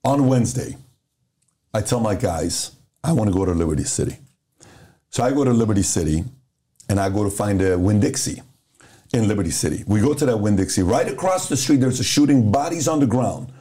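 Middle-aged man from Iran, relaxed warm voice, casual podcast guest who plays out both sides of a story. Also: on the low side, fairly quick. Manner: animated storyteller who acts out dialogue.

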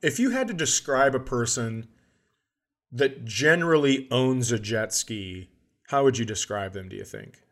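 A middle-aged man hosting a comedy podcast, telling stories casually with swearing and animated outbursts. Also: medium pitch, unhurried. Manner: profane, animated when acting out his outbursts.

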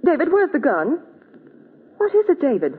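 High pleading frantic wife, pitch swooping wildly, tinny echoey old radio drama. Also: female voice, wildly swooping pitch, moderate pace. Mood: distraught. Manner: pleading, highly emotional. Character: distraught, bewildered accused young wife. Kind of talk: melodramatic old radio acting.

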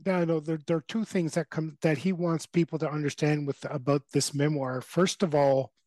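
Male radio contributor, higher pitch, hesitant repetitive phrasing, dry close sound. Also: level tone, darkish tone, no room sound. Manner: halting, repeats phrase openings. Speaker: book segment contributor. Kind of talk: casual radio discussion.

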